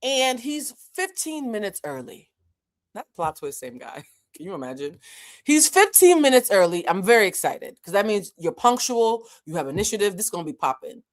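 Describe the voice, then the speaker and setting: A woman whose first language is French tells a casual story to her viewers. She is animated and profane, and she talks straight to her audience. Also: mid-range voice, normal speed. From Boston. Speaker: channel host.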